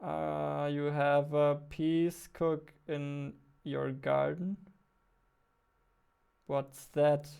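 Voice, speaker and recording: Slow, creaky-voiced young man muttering while coding. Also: a little high, level tone, a little mellow. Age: 20s. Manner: muttering to himself, repeating words. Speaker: game developer and streamer. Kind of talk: casual live coding chat. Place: small indoor room at a computer. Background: keyboard typing and clicking, faint music.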